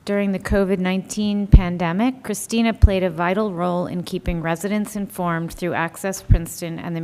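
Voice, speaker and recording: A woman council member reading a resolution and questioning a speaker, clear and engaged. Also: on the low side, darkish tone. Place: council meeting room. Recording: reverberant meeting room.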